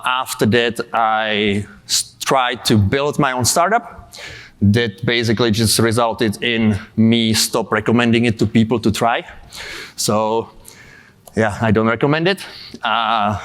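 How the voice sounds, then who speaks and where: A man's voice: mid-range voice, fairly bright tone. Czech-born man in his 30s with a cold; casual, filler-heavy conference speaker. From Czech Republic. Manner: casual, many fillers and asides. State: has a cold. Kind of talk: tech conference talk.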